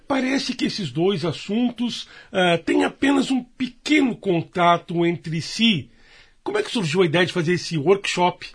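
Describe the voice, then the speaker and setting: A man's voice: sits high, animated, measured pace, breathy edge. A man, hosting a live broadcast interview. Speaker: radio programme host.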